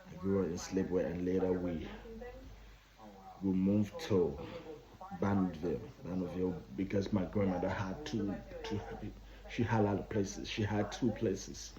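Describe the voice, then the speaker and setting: A man in his thirties with a Liberian accent, telling his own story in a halting way, often repeating and restarting phrases. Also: sits low, steady tone, a crowd in the background. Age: 30s. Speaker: vlogger. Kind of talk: personal storytelling. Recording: dull lo-fi recording.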